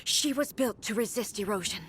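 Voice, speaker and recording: An actress playing a proud, stern warrior ruler with godlike power in a video game, speaking in a solemn, imperious, formal manner. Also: female voice, medium pitch, expressive, moderate pace, lightly acted. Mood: grave, resolute. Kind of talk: dramatic video game voice acting. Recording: loud music under the voice. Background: loud game music.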